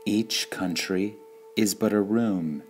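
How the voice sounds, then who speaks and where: A man reading spiritual sayings aloud in a calm, measured way, pausing between phrases. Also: fairly low pitch. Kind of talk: slow meditative reading of quotations.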